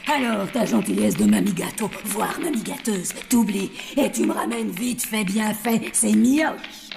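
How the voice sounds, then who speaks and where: A woman voicing a spiteful, bossy old woman in a French cartoon, sarcastic and impatient, with a creaky voice. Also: mid-range voice, big pitch swings, measured pace, noisy background. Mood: bossy, threatening. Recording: music behind the voice.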